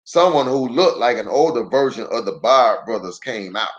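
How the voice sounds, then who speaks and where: A man reading a children's story aloud in an expressive storytelling style. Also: mid-range voice, moderate pace, dull audio.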